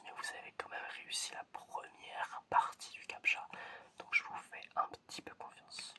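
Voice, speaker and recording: A whispering ASMR performer plays a quirky, mildly suspicious family doctor, talking softly and haltingly and often repeating phrases. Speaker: ASMR creator playing a general practitioner.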